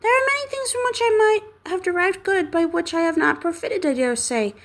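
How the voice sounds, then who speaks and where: A cheerful young man pleads his case brightly and earnestly, as a woman voices him. His voice rides well above her reading voice and stays lively throughout. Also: very high voice, a little fast, voice pushed up.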